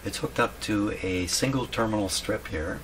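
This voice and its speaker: A man's voice: lowish voice, normal speed. A man giving casual hands-on repair commentary, thinking aloud and asking himself questions as he works. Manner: musing, asks himself questions. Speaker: radio repair hobbyist and video host. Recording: clearly noisy workshop background.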